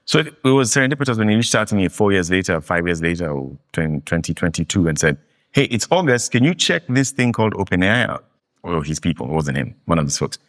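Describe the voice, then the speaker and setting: A man from Kenya, a guest in a fireside interview, telling stories in an animated, emphatic way. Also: low voice, fast talker. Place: live meetup venue.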